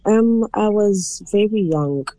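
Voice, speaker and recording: A woman's voice: mid-range voice, expressive, takes it slowly, dark tone, no room sound. A young woman from Ghana, raised in South Africa, speaking earnestly and hesitantly as an interview guest on a remote line. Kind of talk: phone interview.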